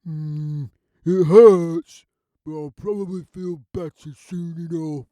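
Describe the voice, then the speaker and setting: Man doing a slow, mellow storybook ape neighbour. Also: a little high, steady tone, dry recording, voice pushed up. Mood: sheepish.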